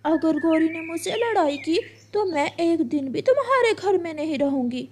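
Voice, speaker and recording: A woman's voice: very high voice, lively intonation, normal speed, darkish tone, slight background noise. A woman voicing a coy, sly young female crow bride in a cartoon story, with sweetly teasing speech. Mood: coy, hesitant. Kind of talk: Hindi cartoon story acting.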